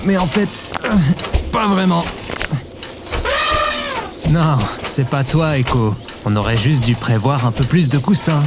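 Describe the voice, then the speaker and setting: A man's voice: a little high, unhurried, pauses often, very noisy, natural voice. A man playing a laid-back, wry adventurer in an audio comedy, casual and a little hesitant. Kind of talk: audio fiction comedy acting. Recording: outdoor ambience, birds and insects.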